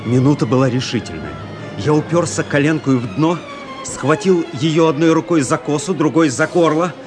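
A man's voice: fairly high pitch, moderate pace, natural voice. An actor playing an intense, introspective young officer who narrates his own adventure in a radio drama. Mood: agitated, breathless. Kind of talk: dramatic first-person narration, radio play. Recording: radio play with music underneath. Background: music.

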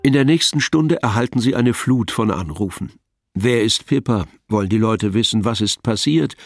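A man's voice: on the low side, animated, normal speed, no room sound, faint music behind. A man reading scripted narration as the narrator of a radio drama.

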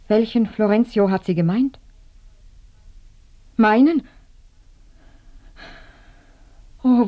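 A woman's voice: fairly high pitch, lo-fi sound. An actress in a radio play playing a grieving, dreamy woman lost in sensual memory, speaking intimately and breathily, often close to a whisper. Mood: anguished. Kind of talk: radio drama monologue.

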